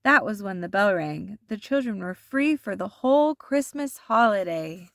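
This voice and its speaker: A woman's voice: medium pitch, gravelly, no room sound. Woman reading a children's story, very dry sound. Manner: deliberate, animated storytelling. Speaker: storybook narrator. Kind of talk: expressive children's read-aloud.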